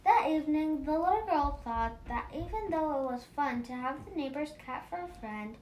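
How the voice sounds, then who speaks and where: Woman, children's storybook read-aloud, high lilting voice, roomy echo. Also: normal speed. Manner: animated, acts out dialogue. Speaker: storybook reader for a children's book club. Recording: roomy echo, faint rumbling noise.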